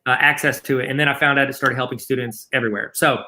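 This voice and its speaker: A man's voice: mid-range voice, steady tone, quick pace, bright, forceful tone. A man with a Southern accent giving a casual, joking how-to session for teachers. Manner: joking, chatty, self-deprecating asides. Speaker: guest presenter teaching teachers. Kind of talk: casual instructional webinar.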